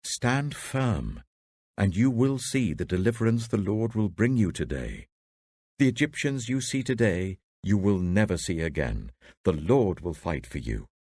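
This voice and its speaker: A man plays a steadfast prophet rallying followers in a scripture story. The voice is strong, husky and raised, proclaiming with wide rises and falls and firm reassurance. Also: lowish voice, big pitch swings, measured pace, darkish tone, no echo, exaggerated voice. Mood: firm, reassuring. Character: Moses, a firm, reassuring male prophet and leader.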